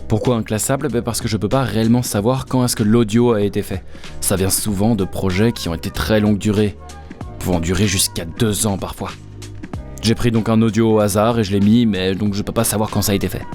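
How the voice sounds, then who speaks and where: A man's voice: fairly low pitch, steady tone, fairly quick, darkish tone. A man who works as an amateur voice actor, narrating a scripted explainer in a chatty way, with explanatory asides. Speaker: amateur voice actor presenting own work. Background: background music.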